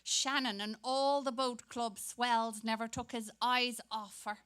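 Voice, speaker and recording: A woman's voice: a little high, steady tone, a little slow, a bit bright, clean tone. A woman playing a bored, gossipy young typist who turns dutiful on the telephone, in a dramatic stage reading. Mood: catty, wistful.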